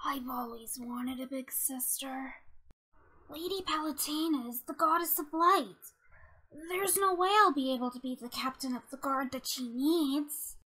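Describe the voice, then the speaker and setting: Girl doing a little angel boy hero for a game character reel, super high bright voice, earnest and kinda timid. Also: normal speed, low-quality recording. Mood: wistful, self-doubting. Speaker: amateur voice actor.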